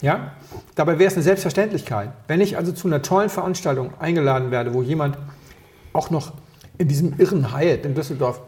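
A man from Berlin chatting casually on a podcast as co-host, rambling through anecdotes with quick asides and self-corrections. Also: fairly high pitch, a little mellow. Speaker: podcast co-host and wine taster.

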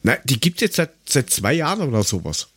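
A man's voice: mid-range voice, very animated, a little fast, a bit bright, husky, no room sound. A man with an Austrian accent and a mid-range voice talks casually on a podcast. He rambles and grumbles with sarcasm and coarse language. The sound is very dry, with no room to it. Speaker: podcast co-host.

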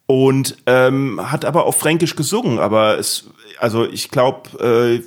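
A man's voice: mid-range voice, a little slow. Man, podcast host, casual interview, rambling, self-mocking.

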